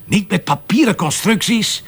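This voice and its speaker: An actor playing a cocky, stubborn Flemish police detective who speculates aloud with sarcastic self-assurance in a crime drama. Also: male voice, high voice, lively intonation, moderate pace, creaky, husky, full character voice. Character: cocky, stubborn male police detective following his gut. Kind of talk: naturalistic television crime drama acting.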